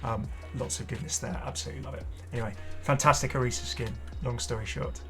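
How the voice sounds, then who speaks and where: Male gaming creator, mid-pitched voice, casual commentary, effusive, rambling, music playing behind. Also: fast talker. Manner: enthusiastic, effusive. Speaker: gaming video creator and host. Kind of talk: casual game showcase commentary. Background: clear background music.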